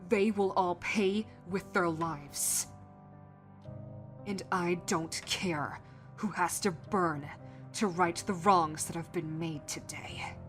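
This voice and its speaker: A woman playing a grieving, vengeful warrior queen in a fantasy audio drama, speaking with slow, commanding deliberation. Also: on the low side, soft background music.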